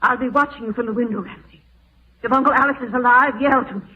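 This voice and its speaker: A woman's voice: a little high, quick pace, natural voice. An actress playing a suspicious, frightened but insistent woman, urgent and accusing, in old radio horror style. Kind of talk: 1940s radio horror acting. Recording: tinny band-limited old radio broadcast.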